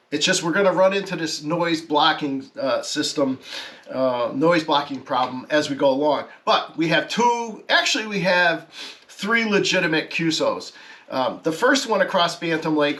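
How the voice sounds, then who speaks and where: Guy with a kinda higher voice explaining his ham radio project, casual video talk, halting and correcting himself on techy stuff. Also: a bit bright. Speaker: amateur radio hobbyist presenting his project.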